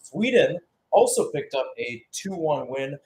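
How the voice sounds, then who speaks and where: A man's voice: a little high, sing-song, a little slow, a little mellow, slight background noise, low-quality recording. A man giving live radio-style play-by-play commentary on a football match, announcer-like and speaking in short phrases.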